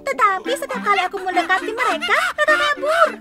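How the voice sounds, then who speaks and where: A woman's voice: very high-pitched, animated, quick pace, over music. A woman voicing a sweet, earnest young girl tank engine in a children's cartoon dub. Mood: flustered.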